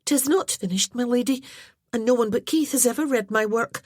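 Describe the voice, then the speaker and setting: A woman's voice: a little high, a little fast, no room sound, studio quality, voice pushed up, lightly acted. A woman voicing a clumsy, tearful young noblewoman who talks in a breathless, apologetic rush. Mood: nervous, eager.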